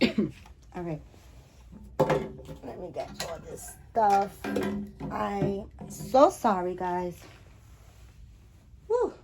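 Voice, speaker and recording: A woman's voice: mid-range voice, expressive, very slow, long pauses, background noise. A woman with a Spanish-influenced accent, talking casually in a home vlog. Her talk is chatty and apologetic, full of fillers and restarts, and she moves closer to and farther from the camera mic. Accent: Spanish-influenced English. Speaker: doll collector vlogger. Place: home apartment.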